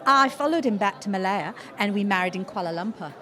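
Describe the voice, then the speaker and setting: A woman's voice: mid-range voice, expressive, brisk, sharp tone, a faint crowd. A woman playing a chatty, cheerful working-class Australian housewife in her thirties in an audio drama, with colloquial, wistful manner. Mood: fond, amused. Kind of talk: audio drama monologue and dialogue.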